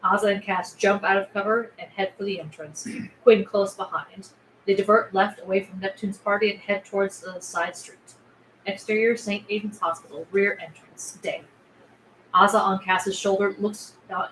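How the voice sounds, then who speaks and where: A woman's voice: medium pitch, fairly even intonation, normal speed, a bit bright. A woman narrating the scene directions of a film script at a table read. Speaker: stage-direction reader. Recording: roomy echo with clear background noise.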